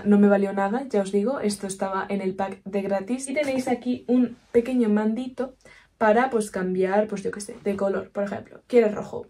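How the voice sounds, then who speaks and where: A woman's voice: medium pitch, dark tone. Chatty young Spanish woman. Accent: Peninsular Spanish. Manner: chatty, upbeat, lots of diminutives and asides. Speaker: vlogger. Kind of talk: casual haul video. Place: small bedroom indoors.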